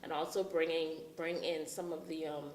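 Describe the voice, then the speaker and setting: Woman from the Bahamas, interview guest, lowish flat voice, measured with pauses, echoey room. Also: slight background noise. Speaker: guest, dance ministry leader. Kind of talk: casual interview.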